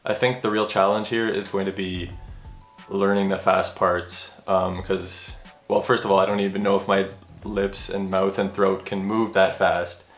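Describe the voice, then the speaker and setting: Fairly low male vlogger, casual and self-deprecating, stumbling over restarts. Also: flat delivery, normal speed. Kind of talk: casual vlog talk to camera. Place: room at home. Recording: roomy echo with faint music.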